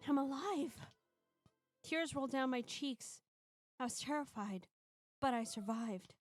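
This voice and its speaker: A woman's voice: sits high, slow pace, faint music behind. A woman streamer reading a visual novel's first-person narration dramatically, playfully breaking off for casual asides.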